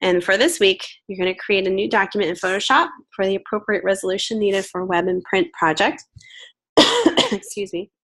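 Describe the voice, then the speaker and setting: A woman's voice: mid-range voice, fairly bright tone. A woman teaching a recorded online course, a bit nasal from a head cold, chatty and reassuring with many fillers. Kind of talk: recorded lecture.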